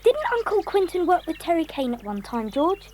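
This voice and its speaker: A woman plays a cheerful, sensible schoolboy who leads the group in a children's audio drama. The very high voice is brisk and lively, with faint ambient noise behind it.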